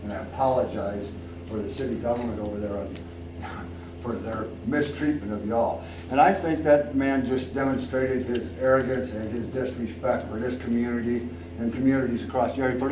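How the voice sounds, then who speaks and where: A man's voice: medium pitch, moderate pace. A man with an American Midwestern accent making unscripted remarks as a resident at a public meeting. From Iowa, United States. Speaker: resident speaking at public comment. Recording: echoey room, distant mic, noisy.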